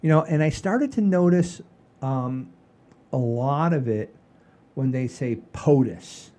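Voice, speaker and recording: A man hosting a comedy show in casual chat, halting and repeating phrases for emphasis. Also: medium pitch, lively intonation, mellow.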